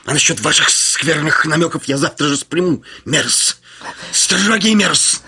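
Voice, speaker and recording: An actor playing a middle-aged rival in a Russian radio drama, servile yet menacing, with a sly manner that often drops to a breathy hiss. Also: male voice, a little high, lively intonation, measured pace, sharp tone, heavily acted. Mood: affronted. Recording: radio play with music underneath.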